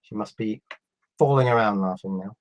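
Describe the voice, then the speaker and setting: A man in his fifties with a cold, reading out viewer questions on a live stream and answering them in a relaxed, halting way. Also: on the low side, dull audio. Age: 50s. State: has a cold. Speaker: electronics YouTuber answering live chat. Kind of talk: casual live stream chat.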